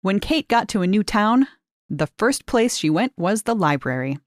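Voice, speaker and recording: A woman reading a short story aloud in measured, expressive narration, lifting key words for emphasis. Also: mid-range voice, dry recording, studio quality. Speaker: reader of short fiction.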